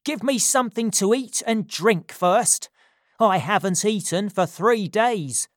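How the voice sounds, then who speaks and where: A woman voicing a rough male thief who tells grim news bluntly. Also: mid-range voice, slow, dry recording, clean studio sound, voice pushed up, lightly acted.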